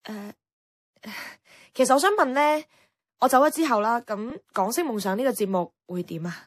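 An actress playing a frustrated, outspoken young radio worker in a Cantonese radio drama, with a Hong Kong accent and wry, expressive, colloquial delivery. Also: female voice, fairly high pitch, normal speed, dry recording, clean studio sound. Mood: hesitant. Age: young adult. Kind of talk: radio drama acting with inner-monologue narration. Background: background music.

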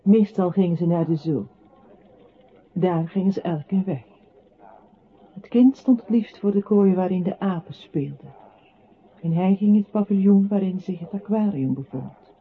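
A woman performing as the narrator of a literary radio play, telling a man's story in a measured way. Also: fairly low pitch. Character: woman narrator recounting a troubled man's life. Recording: tinny band-limited audio with clear noise.

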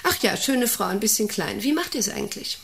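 An actress playing a middle-aged, self-important and intrusive company director in a radio play, rambling and domineering with a creaky voice. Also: female voice, medium pitch, big pitch swings, fairly quick, fairly bright tone. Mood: prying, insistent. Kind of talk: radio play monologue and dialogue.

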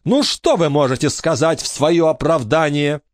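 A man's voice: fairly high pitch, lively intonation, normal speed, dry recording, voice pushed up, close to an everyday voice. A haughty middle-aged lady who lectures in a stern, fussy tone, as a male storyteller puts her on. Her voice sits well above a man's natural register and swings widely. The audiobook recording is dry and close. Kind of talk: audiobook character voice.

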